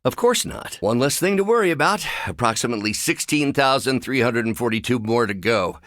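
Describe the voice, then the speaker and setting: An actor voicing a brilliant, anxious, wry scientist with buried anger in a video game, speaking in precise, articulate, dryly sardonic phrases. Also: male voice, mid-range voice, expressive, brisk, fairly bright tone, dry recording, clean studio sound, natural voice. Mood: analytical.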